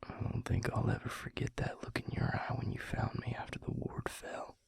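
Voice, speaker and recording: A man playing a tender, weary vampire lover in an intimate audio roleplay, speaking haltingly in a hushed, breathy, gravelly voice. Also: natural voice. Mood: raw, vulnerable. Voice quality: breathy, husky, gravelly half-whisper. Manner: hushed, halting, with long pauses.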